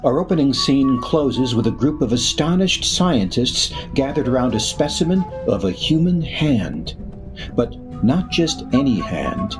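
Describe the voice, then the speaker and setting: A man narrating a scripted radio drama as announcer, in a measured way with dramatic pauses. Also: medium pitch. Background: music.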